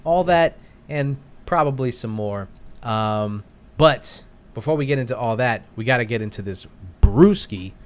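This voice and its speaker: A man's voice: mid-range voice, expressive, unhurried. A man co-hosting a podcast, chatting casually over a beer and rambling with restarts. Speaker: podcast co-host. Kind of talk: casual chat over beers.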